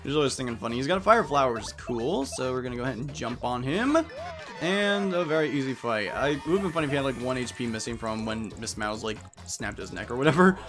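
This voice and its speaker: A man's voice: mid-range voice, lively intonation, quick pace, music in the background. A man giving casual let's play commentary on a video game, with jokey, playful asides.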